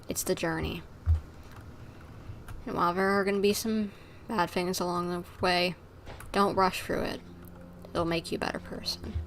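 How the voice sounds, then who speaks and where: A woman giving casual livestream commentary on a game, musing and thinking out loud. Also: mid-range voice, slight background noise.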